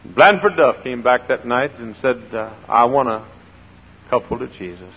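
Older preacher guy, froggy raspy voice, hollers then goes quiet, dull old church tape. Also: mid-range voice, animated, a little slow, long reverb tail. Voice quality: gravelly, froggy voice. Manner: swings from hollering exhortation to quiet storytelling, repeats phrases for emphasis. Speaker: pastor. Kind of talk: sermon. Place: large church sanctuary.

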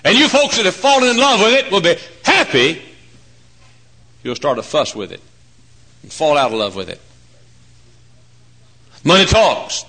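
A male preacher in his forties delivers a folksy, joking sermon. His voice sits a bit high and bright, with wide animated swings in pitch. He drops to hushed asides, then rises to loud, emphatic points. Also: measured pace, long pauses. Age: 40s. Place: church.

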